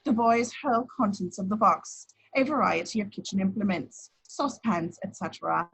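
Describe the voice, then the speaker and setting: Female narrator for screenplay action lines, medium pitch with a husky, unpolished tone. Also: moderate pace, fairly bright tone, low-quality recording.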